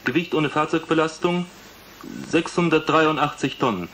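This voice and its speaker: A man's voice: fairly high pitch, normal speed, a bit bright, slight background noise, lightly acted. A male actor playing a matter-of-fact engineering student in a German radio play, speaking in technical terms. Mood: businesslike. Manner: sober, technical.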